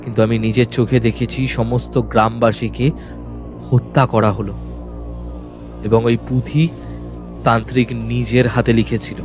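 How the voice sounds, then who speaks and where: A man's voice: mid-range voice, level tone, measured pace, long pauses, over music, close to an everyday voice. A man playing a terrified young man trapped in a haunted mansion in an audio horror story, urgent and pleading. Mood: anxious warning.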